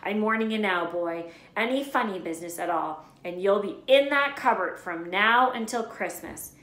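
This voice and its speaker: Blustering middle-aged uncle, voiced by a woman, storybook, forceful, threatening, echoey room. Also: medium pitch, lively intonation, moderate pace, lightly acted. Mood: menacing.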